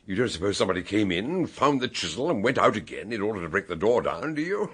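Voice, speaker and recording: Eccentric British sleuth, radio drama: deep, husky, blustering and wry. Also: male voice, sing-song, quick pace. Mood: wry, amused. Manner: theatrical, hesitant bumbling mixed with wry pronouncements. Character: eccentric, jovial, blustering male amateur detective and doctor. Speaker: detective character in radio drama. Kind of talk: BBC radio drama acting, dialogue and narration.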